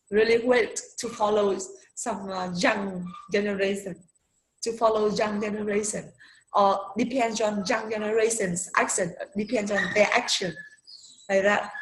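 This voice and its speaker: Young woman talking slowly and unsure, um and uh a lot, roomy echo. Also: mid-range voice, a little hiss or hum. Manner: hesitant, many fillers, searching for words. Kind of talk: casual conversation in English. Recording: echoey room.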